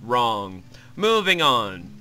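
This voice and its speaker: A man's voice: medium pitch, sing-song, very slow, sharp tone. A man hosting a joking, crude and irreverent recap of a video game. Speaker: host, self-described comedic voice actor. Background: game music.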